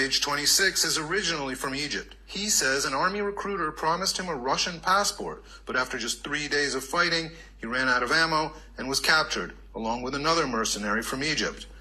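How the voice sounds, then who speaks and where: A man narrating a scripted news report in a measured, even manner. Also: medium pitch, low-quality recording.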